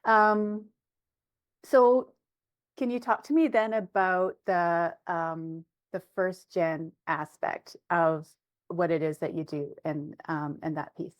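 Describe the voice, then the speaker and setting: A woman interviews in a casual, conversational way. Her pitch is a little low for a woman. She sounds tentative and affirming, thinking aloud as she works toward her questions. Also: slow, lo-fi sound. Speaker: interviewer, host.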